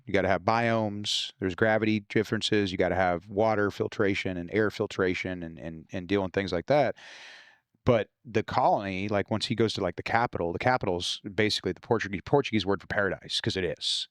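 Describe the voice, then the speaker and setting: A low-pitched American man chats as a podcast guest, an author explaining his work. His delivery is informal and sweary. The recording is dry and close, with little room sound. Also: brisk, a bit bright, no echo. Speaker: guest author discussing own novels. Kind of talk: casual podcast conversation.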